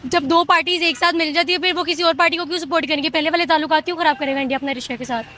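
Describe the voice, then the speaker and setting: A woman's voice: sits high, steady tone, racing, bright, forceful tone, a little hiss or hum. A woman with a Pakistani accent giving off-the-cuff opinions in an interview, mixing in English words.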